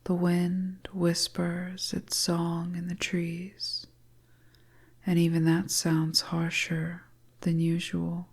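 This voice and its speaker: Slow, whispery woman storyteller. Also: fairly low pitch, monotone. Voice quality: breathy, whispery. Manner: slow, hushed, second-person suspense narration. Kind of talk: hypnotic whispered story narration.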